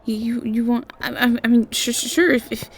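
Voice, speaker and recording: A woman's voice: on the high side, little pitch movement, brisk. A woman playing a shy, sweet, anxious young academy student in an audio roleplay, stammering nervously and apologizing as she goes. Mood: flustered, hopeful. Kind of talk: audio roleplay acting, addressed to the listener.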